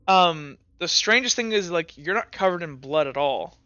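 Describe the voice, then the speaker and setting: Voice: medium pitch, expressive, moderate pace, pushed and bright. A game master narrating a tabletop roleplay session, describing scenes in long, trailing sentences full of fillers. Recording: dull lo-fi recording.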